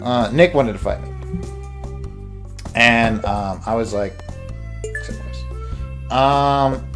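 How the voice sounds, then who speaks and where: A young man tells a rambling story in casual slang while he plays a game. His delivery is halting and his pitch sits mid-range. Game music plays softly behind him. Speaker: let's play host. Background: video game music.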